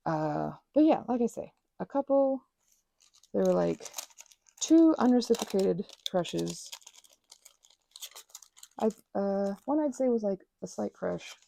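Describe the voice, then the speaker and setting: A woman in her thirties chatting in a soft, rambling way, full of fillers and self-corrections, as an ASMR host. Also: medium pitch, animated, takes it slowly, creaky, long pauses. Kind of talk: rambling personal chat. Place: quiet room at a craft table.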